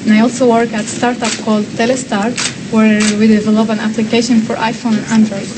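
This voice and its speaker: Young woman, flat steady voice. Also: fairly high pitch, fast. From Israel. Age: 20s. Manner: polite, self-introducing. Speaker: student. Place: large indoor exhibition hall. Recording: noisy background.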